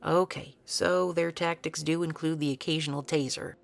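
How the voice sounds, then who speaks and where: A woman's voice: sits low, fairly even intonation, normal speed, gravelly. A woman playing a weary, sardonic and stubborn researcher in an audio drama, talking in a dry, blunt, casual way. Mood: sardonic, resolute.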